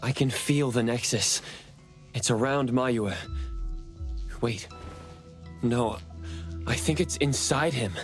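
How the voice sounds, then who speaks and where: A man's voice: medium pitch, slow pace, pauses often, soft background music, natural voice. An actor playing an earnest, perceptive young male hero in a video game. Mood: alert. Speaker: main character. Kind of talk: English video game voice acting.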